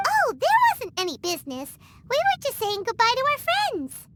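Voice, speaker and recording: Squeaky childlike little fairy companion. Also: female voice, wildly swooping pitch, moderate pace, very noisy, exaggerated voice. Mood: cheerful. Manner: bubbly, speaks for the group as "we". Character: small chirpy childlike fairy companion, eager, loyal. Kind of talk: video game voice acting. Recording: music under the voice.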